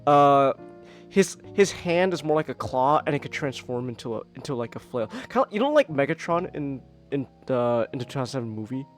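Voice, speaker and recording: A man co-hosting a casual podcast, excitable and stammering, laughing often and breaking into squeaky character voices. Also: fairly quick, faint music behind. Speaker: podcast co-host. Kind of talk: casual chat.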